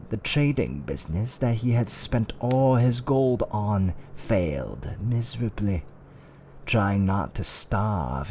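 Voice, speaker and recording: Man playing a slow theatrical tavern-keeper storyteller. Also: deep voice, creaky, mellow, slight background noise, full character voice. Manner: theatrical, archaic wording, drawn-out suspenseful delivery. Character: older male fantasy tavern owner, theatrical fireside storyteller. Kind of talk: roleplay ASMR dark storytelling.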